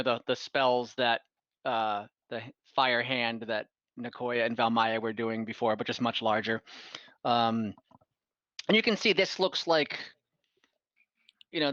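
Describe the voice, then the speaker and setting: A man's voice: medium pitch, fairly bright tone, dry recording, muffled recording. A man improvising narration as game master of a tabletop fantasy game, rambling and often stopping to correct himself. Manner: halting, rambling, self-correcting. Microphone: online voice call, close microphone.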